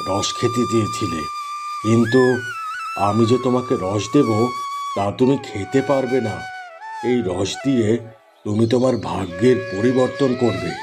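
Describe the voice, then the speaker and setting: A man plays a kindly enchanted palm tree from a fairy tale cartoon. The talk is solemn and reassuring, with a very lively rise and fall. Music plays clearly underneath. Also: medium pitch.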